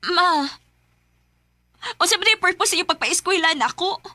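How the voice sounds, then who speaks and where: A woman's voice: extremely high pitch, lively intonation, moderate pace, bright, forceful tone, no echo, full character voice. An actress playing a hurt, frustrated young daughter who stands up to her parents in a radio drama. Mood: pleading, frustrated. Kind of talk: Philippine radio drama acting.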